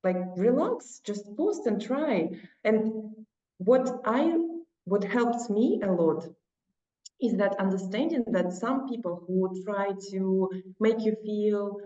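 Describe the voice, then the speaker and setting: Woman, Russian accent, mellow low voice, earnest and encouraging, echoey far-off dull recording. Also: slow. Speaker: guest. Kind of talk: casual interview talk. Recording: echoey, distant, dull lo-fi sound.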